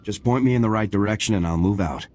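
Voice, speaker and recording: A man's voice: fairly low pitch, fast talker, darkish tone, lightly acted. A man voicing a tough, stoic soldier hero in a video game, terse, confident and matter-of-fact. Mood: resolute. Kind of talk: video game cutscene acting.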